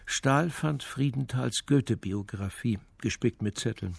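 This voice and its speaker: A man's voice: medium pitch, a little slow, vocal fry, husky, dry recording. A male actor playing the sober, matter-of-fact narrator of a detective story in a scripted radio play.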